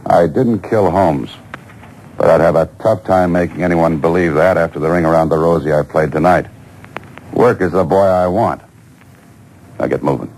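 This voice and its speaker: A man's voice: low-pitched, normal speed, long pauses, a little mellow. An American actor playing a coolly menacing, wry and taunting man on the run in an old-time radio drama. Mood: commanding. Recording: noisy old radio show taped off air.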